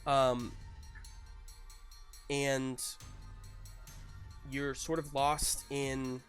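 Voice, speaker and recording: A woman game master narrating a live tabletop roleplaying game, thinking aloud in a halting way. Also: rumbling low, little pitch movement, clean tone, soft background music. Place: live online game stream.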